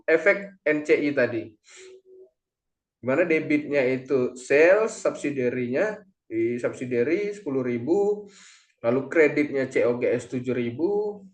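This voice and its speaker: A man presents a lesson in a mid-pitched voice. He explains things step by step, now and then halting and correcting himself. The recording is echoey, with clear room reverberation. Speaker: accounting lecturer or presenter.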